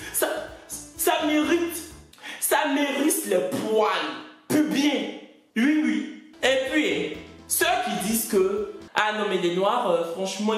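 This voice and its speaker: A man from West Africa, delivering a stand-up comedy monologue in an indignant, mocking way and drawing out his punchlines. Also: sits high. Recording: very echoey room, faint music.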